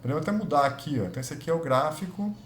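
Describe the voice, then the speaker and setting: A man's voice: a little high, expressive, rapid-fire. A man with a Brazilian Portuguese accent, a physics lab instructor talking through a spreadsheet tutorial, thinking aloud and correcting himself as he goes. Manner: thinks aloud, self-correcting, checks in with students. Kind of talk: screen-recorded spreadsheet tutorial. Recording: echoey room with faint noise.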